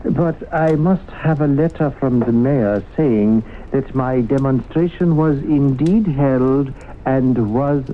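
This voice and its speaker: A man's voice: medium pitch, slow, natural voice. A man playing a wily, fussy professor in a 1970s radio drama, genteel, hesitant and mock-reluctant as he bargains. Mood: stubborn, wheedling. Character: wily, fussy male professor haggling over his demonstration. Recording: muffled, hissy old radio broadcast.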